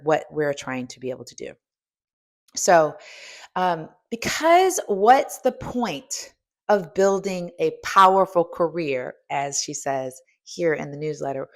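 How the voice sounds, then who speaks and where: A woman in her fifties giving a motivational coaching talk, emphatic and asking the listener direct questions. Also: low voice. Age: 50s. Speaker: strength coach and host.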